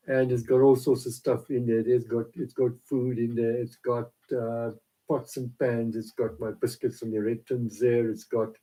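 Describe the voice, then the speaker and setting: A South African man, Afrikaans his first language, giving a rambling, halting devotional talk into a laptop camera in his small home office. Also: medium pitch, warm tone, rough recording. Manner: rambling, halting with many fillers.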